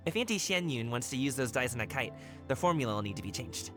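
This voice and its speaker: A man's voice: mid-range voice, animated, fast, a bit bright, lightly acted. An actor voicing a friendly young male guard in a video game, with a breezy, eager, casual manner. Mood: friendly, concerned. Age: young adult. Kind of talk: animated video game dub acting. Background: background music.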